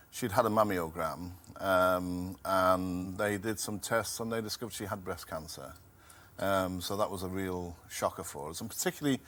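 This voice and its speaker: A man in his fifties from Liverpool, a game show contestant, talking hesitantly and softly with many pauses. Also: sits low. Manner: hesitant, soft-spoken, many ums. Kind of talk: casual chat. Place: TV studio.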